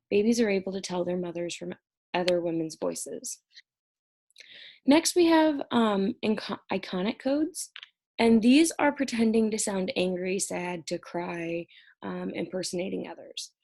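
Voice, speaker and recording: A woman's voice: mid-range voice, unhurried, gravelly, a little mellow. A young woman recording an exam review lecture at home, explaining each topic conversationally while sounding stressed and short on sleep. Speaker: college instructor.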